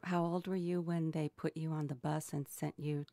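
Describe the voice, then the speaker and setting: Low-voiced woman in her sixties. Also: little pitch movement, normal speed, gravelly, warm tone, dry recording. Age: 60s. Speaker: interviewer. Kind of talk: oral history interview.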